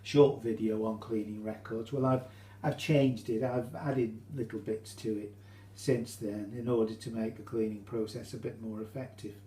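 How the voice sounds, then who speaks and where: A British man giving an informal, halting how-to demonstration, explaining each step as he goes. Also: lowish voice, fairly even intonation, mellow. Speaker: hobbyist demonstrator. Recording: roomy echo, faint hum.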